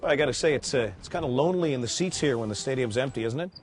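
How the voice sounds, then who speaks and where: A Canadian man co-hosting a travel show, joking and bantering with quick patter outdoors in a city. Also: mid-range voice, over loud music. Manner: jokey and playful, quick patter.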